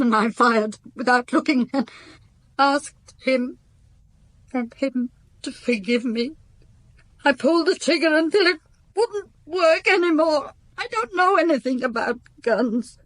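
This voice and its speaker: An actress playing a devout, guarded housekeeper in a radio drama, speaking formally and haltingly. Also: female voice, high-pitched, no echo, heavily acted. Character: devout, guarded spinster housekeeper to a French count.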